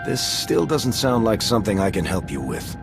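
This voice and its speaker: Direct, low-voiced commander. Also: male voice, big pitch swings, normal speed, gravelly. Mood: serious, skeptical. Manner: plain, direct, questioning. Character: direct, skeptical male military commander, game hero. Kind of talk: video game acting. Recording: loud music under the voice.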